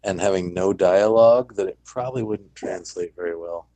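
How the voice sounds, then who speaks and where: A man's voice: deep voice, normal speed, mellow, dry recording. A man chatting casually as a podcast panelist, with a blunt, dry way of talking. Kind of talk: casual discussion.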